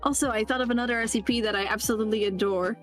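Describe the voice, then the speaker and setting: Giggly woman guest, higher voice. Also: fairly even intonation, rapid-fire, soft background music. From the Netherlands. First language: Dutch. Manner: giggly, chatty, frequent laughter. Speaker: interview guest. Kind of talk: casual interview chat.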